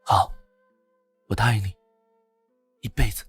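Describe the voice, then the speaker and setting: An actor playing a young beggar in a costume drama, husky, exasperated yet protective. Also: male voice, on the low side, steady tone, unhurried, no echo, soft background music. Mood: tender. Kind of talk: dubbed animated costume drama.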